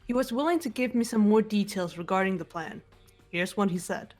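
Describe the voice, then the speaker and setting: A woman's voice: medium pitch, brisk, a little mellow, faint music behind, close to an everyday voice. Woman playing a cool, composed teenage girl. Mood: coolly bitter. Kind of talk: amateur game voice acting.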